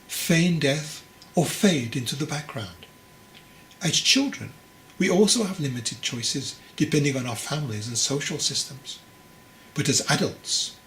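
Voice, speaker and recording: A man voicing a wise, patient old monk master, teasing and instructive, in a measured delivery for a storybook. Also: mid-range voice, fairly bright tone. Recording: clearly noisy recording.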